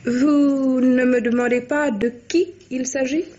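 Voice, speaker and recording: Haughty Parisian mistress, muffled recording. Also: female voice, sits high, fairly even intonation, unhurried, mellow. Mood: proud. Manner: theatrical, imperious, ironic. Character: haughty, romantic kept mistress, sharp-tongued Parisian woman. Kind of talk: 1950s French radio drama acting. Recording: dull vintage radio recording.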